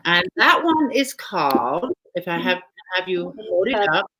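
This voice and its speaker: A woman's voice: on the low side, very animated, unhurried, bright, forceful tone, rough recording. A woman with a British accent hosting a casual live author chat from home, talking chattily and laughing often. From Britain. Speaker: host.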